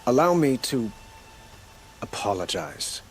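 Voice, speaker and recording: A man's voice: mid-range voice, sing-song, slow. A courteous young king speaks earnestly in a mid-range voice. His delivery is formal game acting with some music behind it. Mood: earnest, concerned. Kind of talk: video game cutscene acting.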